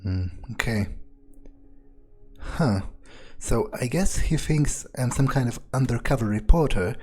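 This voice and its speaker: A man's voice: mid-range voice, animated, a little slow, a little mellow, husky, faint music behind. A man thinking aloud in character during a game playthrough, musing and hesitant, often trailing off. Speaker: let's player speaking in character as a vampire.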